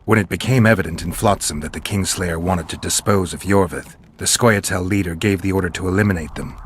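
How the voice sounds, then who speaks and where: An actor playing a gruff, stoic monster hunter in a video game, with a gravelly low voice and a terse, deadpan delivery. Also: male voice, fairly quick, slight background noise, lightly acted. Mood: grim, matter-of-fact.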